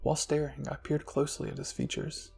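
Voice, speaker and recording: A man narrating a scripted fan fiction story in a soft ASMR style as a channel host, with music behind him. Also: fairly high pitch, flat delivery, brisk, vocal fry.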